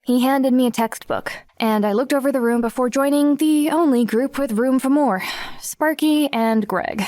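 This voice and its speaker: A woman's voice: high-pitched, normal speed, mellow, dry recording, studio quality, natural voice. An actress playing a wry, self-conscious teenage girl narrating her own story in an audiobook. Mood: wry, reflective. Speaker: lead narrator. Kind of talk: first-person audiobook narration.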